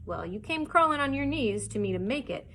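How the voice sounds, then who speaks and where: A woman voicing a bold, wily male pirate captain in a storybook, with rough, cajoling sailor talk. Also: a little high, lively intonation, brisk, sharp tone, a little hiss or hum, pitched above the performer's own voice, full character voice. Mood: blustering, persuasive.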